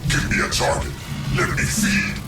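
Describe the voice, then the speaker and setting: An actor voicing a huge, ravenous machine monster in a video game, menacing and monotone. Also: male voice, moderate pace, natural voice. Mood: hungry menace. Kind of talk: game cutscene acting. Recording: echoing, distant, under loud music.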